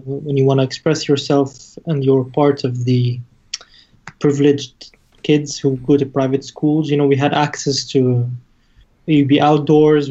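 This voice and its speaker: Mellow, halting man on lo-fi audio. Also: mid-range voice. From Lebanon. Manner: halting, searching for words. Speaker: interview guest, musician. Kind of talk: casual conversation.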